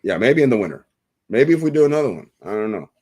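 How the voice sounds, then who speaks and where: A man with a very low voice hosting a casual livestream chat, talking into a desk microphone in a loose, joking way and laughing often. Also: big pitch swings, fast, a little mellow, husky. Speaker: livestream host.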